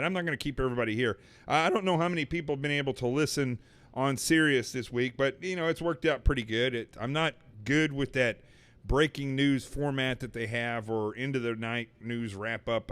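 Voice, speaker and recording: A man's voice: medium pitch, smooth. A man hosting a live show with a sore throat, talking in a rambling, sarcastic way full of fillers. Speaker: host. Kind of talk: casual livestream monologue. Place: studio in Manhattan.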